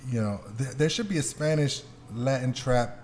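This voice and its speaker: A man's voice: mid-range voice, moderate pace, darkish tone. A man hosting a casual podcast chat in a joking, slangy, sometimes profane way. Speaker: podcast host. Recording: clear background noise.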